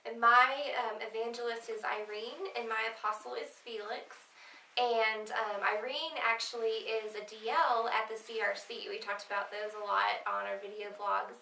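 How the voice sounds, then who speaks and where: Giggly bright young woman. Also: on the high side, vocal fry, a little hiss or hum. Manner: giggly, chimes in with short agreements. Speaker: mission trip vlogger. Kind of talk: casual chat. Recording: echoey room, mic at a distance.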